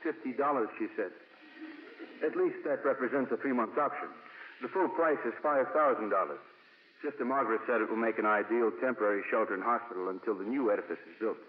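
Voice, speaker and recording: A man's voice: medium pitch, a little fast, close to an everyday voice. A man playing a dutiful, courteous monsignor, a bishop's aide in a 1950s radio drama, speaking formally and carefully. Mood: dutiful, matter-of-fact. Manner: formal, careful, reporting point by point. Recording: muffled old radio broadcast recording.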